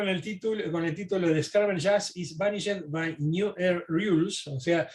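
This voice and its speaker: High-pitched man giving a halting lecture. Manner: explanatory, halting, with asides and tag questions. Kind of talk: informal history lecture, reading and commenting on documents.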